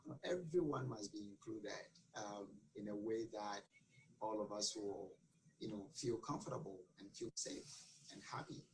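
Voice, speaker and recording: A man's voice: on the low side, normal speed, slight background noise, poor audio. A man from Ghana, answering interview questions earnestly with many fillers and restarts. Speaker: guest.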